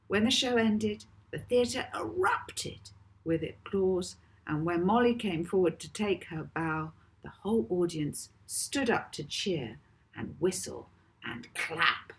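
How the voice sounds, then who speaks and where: A woman author reading her children's novel aloud as expressive storybook narration, with deliberate phrasing and dramatic emphasis. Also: medium pitch, low-quality recording.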